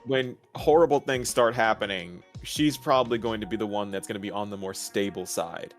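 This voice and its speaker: A man's voice: fairly low pitch, brisk, soft background music. A man talking informally as a guest in a casual discussion of a game's story. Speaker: guest co-commentator.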